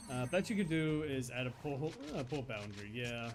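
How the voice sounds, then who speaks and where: Lively thirty-something man streaming a game. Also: medium pitch, normal speed, music in the background. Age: 30s. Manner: rambling, earnest, with restarts and chuckles. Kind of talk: casual live-stream chat.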